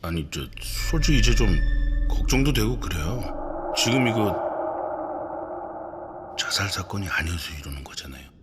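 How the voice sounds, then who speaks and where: A man's voice: animated, normal speed, pauses often, loud music behind the voice, close to an everyday voice. A man acting a weary, worried civilian in an audio drama, speaking hesitantly to police. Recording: long reverberant hall, rumbling noise.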